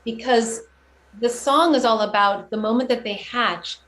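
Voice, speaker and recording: A woman giving a casual interview about her own work, speaking earnestly and haltingly as she thinks out her explanations. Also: a little high. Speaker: guest, children's singer and teaching artist. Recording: clear steady background noise.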